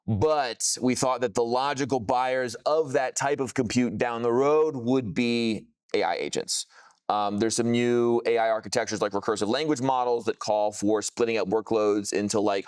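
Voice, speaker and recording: A man's voice: fairly low pitch, fairly even intonation, normal speed, smooth, dry recording. A man giving an informal product demo, explaining technical things casually with plenty of jargon. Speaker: software founder presenting a product.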